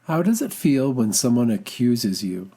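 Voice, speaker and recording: A storyteller giving an expressive, scripted reading of a children's folktale with dramatic, deliberate phrasing. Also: medium pitch, husky.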